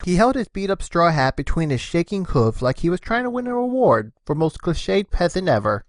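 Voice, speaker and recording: A woman giving an expressive, scripted narration of a story. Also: on the low side, moderate pace, no room sound, studio quality.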